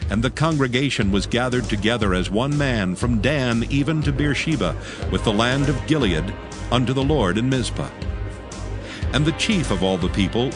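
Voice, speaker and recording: A man reads scripture as a dramatic narrator. His voice is fairly low with animated intonation and a solemn, formal delivery, over faint music.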